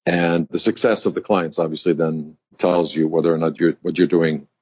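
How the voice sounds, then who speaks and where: A man's voice: deep voice, steady tone, moderate pace, a little mellow, breathy edge, no room sound. A man in his fifties from Germany, speaking, who tells his story as a guest executive coach in a reflective, articulate way. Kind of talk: interview conversation. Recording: dull, dry sound.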